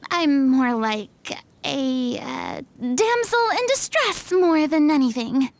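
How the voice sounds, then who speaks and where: A woman's voice: high voice, animated, takes it slowly, exaggerated voice. A woman playing a proud yet easily flustered, theatrical young lady in a video game, with a haughty, showy manner. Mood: showy, self-pitying. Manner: dramatic, haughty, self-consciously showy.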